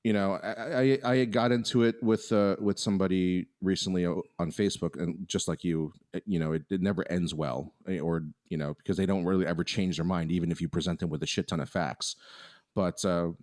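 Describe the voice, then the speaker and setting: A man's voice: low-pitched, fairly even intonation, brisk, darkish tone. A man co-hosting a podcast, talking in a casual, opinionated way with many restarts. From United States. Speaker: podcast co-host.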